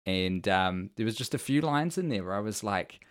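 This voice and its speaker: A man's voice: lowish voice, lively intonation, normal speed, clean tone. A man with a New Zealand accent, chatting casually as a podcast guest and giving opinions in a wry, mock-earnest way.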